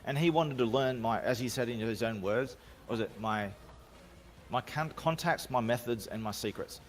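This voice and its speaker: A man's voice: mid-range voice, moderate pace, slight background noise. A man with an Australian accent, talking casually as a guest on a remote call, rambling and self-assured with frequent restarts. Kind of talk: casual podcast storytelling. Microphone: home microphone, remote call.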